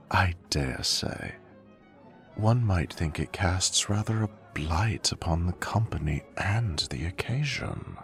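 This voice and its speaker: Man acting a deep-voiced, courtly fae butler. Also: vocal fry, a bit bright, exaggerated voice. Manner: courtly, formal, unctuously polite diplomacy. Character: elegant, dryly witty male fae butler to royalty. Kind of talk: scripted audio roleplay acting.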